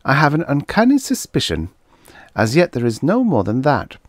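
A man's voice: medium pitch, huge pitch swings, moderate pace, dry recording, studio quality, voice pushed up. A man voicing a prim, knowing eight-year-old girl who chants and speaks in precise formal phrases.

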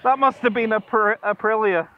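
A man's voice: sits high, normal speed. A man chatting casually as he rides a motorbike, with cheeky, sweary banter, speaking into a helmet mic. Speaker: motovlogger. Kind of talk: casual chat while riding. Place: outdoors on a motorcycle ride. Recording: muffled helmet mic, loud vehicle noise. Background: motorcycle engine and road noise.

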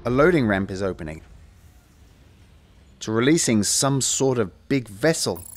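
Male space hero played by an actor, excitable, wisecracking, swooping intonation, audio drama, music underneath. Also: medium pitch, unhurried, close to an everyday voice. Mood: tense wonder. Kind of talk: sci-fi audio drama acting.

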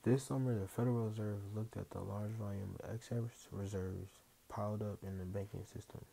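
Low, creaky-voiced male newsreel narrator. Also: steady tone, fairly quick, dark tone. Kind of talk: scripted narration read aloud. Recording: clear hiss behind the voice.

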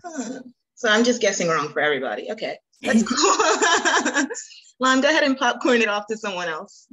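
A woman's voice: fairly high pitch, sing-song, normal speed, bright, forceful tone, slightly rough, rough recording. A woman hosting a casual group video call, playful, reacting aloud and urging people on. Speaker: host of a virtual icebreaker session.

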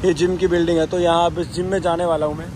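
A man's voice: a little high, level tone, racing. A man chatting casually to camera as a fitness vlogger, on a handheld phone. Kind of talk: casual vlog chat to camera, Hindi mixed with English. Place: outdoors on busy streets, later inside a gym. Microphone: phone held at arm's length. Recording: noisy street and gym ambience, traffic.

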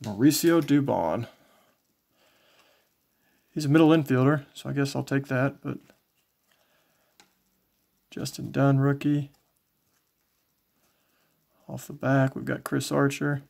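A man casually commentating as he shows collectibles, in a low-key, folksy way, thinking aloud. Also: slow pace, creaky, pauses often, a little mellow. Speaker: trading card collector and video host. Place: indoors, small home tabletop setup.

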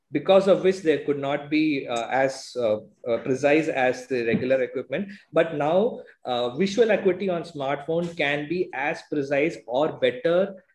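A man in his thirties with an Indian English accent, presenting his own work to a conference over a video call in a steady, explaining way with many fillers. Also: on the high side, roomy sound, rough recording. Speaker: presenting doctor. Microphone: earphone mic on video call.

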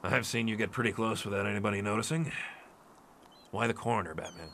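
An actor playing a weary, wary policeman in a noir radio drama, his voice creaky and gravelly. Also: lowish voice, fast talker. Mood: puzzled, dry. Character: weary, wary adult male police officer. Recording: loud fireworks and noise behind.

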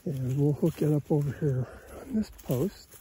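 A man's voice: on the high side, normal speed, mellow, husky. An American man, a cattle farmer with a folksy, plain-spoken way, casually narrating his chores outdoors into a handheld phone. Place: outdoors in an open pasture. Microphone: handheld phone held by the speaker behind the camera.

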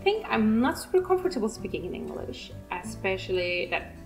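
Woman, Turkish accent, native Turkish speaker, casual art-vlog voice-over, chatty and self-mocking, mid pitch. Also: big pitch swings, normal speed, vocal fry, over music, poor audio. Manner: rambling, self-mocking, laughs often. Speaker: art channel host. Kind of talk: chatty voice-over while painting.

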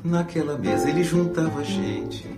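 Brazilian woman, really low voice, slow song lyrics, loud guitar. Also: animated. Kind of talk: slow sung-style lyrical delivery. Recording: echoey, loud guitar music behind. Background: guitar music.

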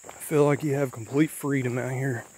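A man's voice: mid-range voice, steady tone, moderate pace, a little mellow, slight background noise, poor audio. A man vlogging as he walks an overgrown country road, a little tired, thinking aloud in a loose, rambling way. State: a little tired from a long walk. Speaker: vlogger exploring an abandoned road. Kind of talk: casual walking vlog. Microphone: handheld or body camera while walking. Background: faint insects and rural ambience.

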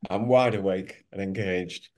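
A man's voice: low voice, measured pace, a little mellow. An older man on a headset in his living room, speaking in a dry, ironic way in a debate.

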